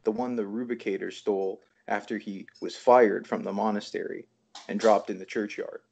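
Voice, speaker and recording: A man's voice: lowish voice, steady tone, moderate pace, gravelly, darkish tone, slightly rough. A man from Toronto, a poet, reading aloud over a dull, lo-fi video call. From Toronto, Canada. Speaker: poet reading own work. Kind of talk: poetry reading.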